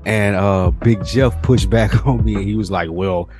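A man's voice: fairly low pitch, measured pace, darkish tone. Blunt, slangy man with music behind. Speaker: podcast co-host. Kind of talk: casual chat.

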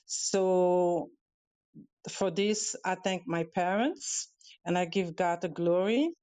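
A woman with a Dutch accent, Dutch her first language, calling in as a guest and speaking haltingly with many fillers and restarts. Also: on the low side, dark tone, clean tone, dry recording. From Europe. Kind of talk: casual talk on a live audio show. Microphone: calling in remotely.

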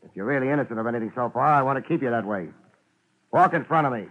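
A man's voice: medium pitch, expressive, very fast, fairly bright tone, rough recording. A man playing a tough, laid-back private detective, laconic and wry, in a 1950s radio crime drama. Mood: tough, firm. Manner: wry, hard-boiled, laconic. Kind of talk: 1950s radio drama acting with first-person narration. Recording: old 1950s radio recording.

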